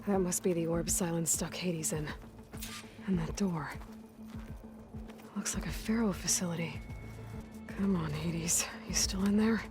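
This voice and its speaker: A woman's voice: fairly low pitch, a little slow, pauses often, lightly acted. A woman playing a young, determined, wry adventurer heroine in a video game, speaking in a terse, dry manner. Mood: wry, wary. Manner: terse, dry, muttering to herself. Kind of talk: video game dramatic acting. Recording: game score music behind.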